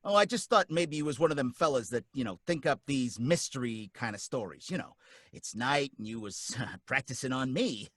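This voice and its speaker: A man's voice: mid-range voice, animated, normal speed, fairly bright tone, dry recording. An actor playing a wary, working-class male taxi driver who talks in folksy slang and grows suspicious of his passenger. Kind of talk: live radio drama reading.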